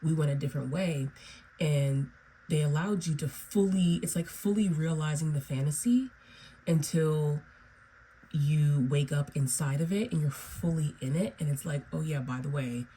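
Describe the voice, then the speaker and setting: Woman, tarot reader, low and mellow tone, slightly raspy from a cold, rambling delivery with frequent "okay?", faint hiss behind. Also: moderate pace, low-quality recording. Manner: meandering, repeats "okay?" and "right?". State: under the weather, raspy. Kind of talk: solo tarot reading to viewers.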